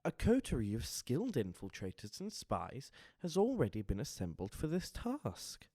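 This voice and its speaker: A man playing a shrewd, wary vampire spymaster in an audio drama, speaking formally and deliberately. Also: medium pitch, huge pitch swings, dark tone, no room sound, clean studio sound. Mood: calculating.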